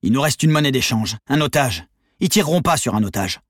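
A man voicing a deranged, desperate gang leader who shouts and barks orders in an audiobook thriller. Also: mid-range voice, animated, brisk, husky, no echo, clean studio sound, pitched above the performer's own voice. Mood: frantic, defiant.

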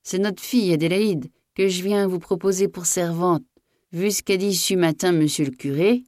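A woman's voice: on the low side, normal speed, a little mellow, dry recording, clean studio sound, pitched above the performer's own voice, close to an everyday voice. A woman voicing a peasant mother in a storybook reading, speaking respectfully in deferential country phrases. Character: respectful peasant mother offering her grown daughter as servant.

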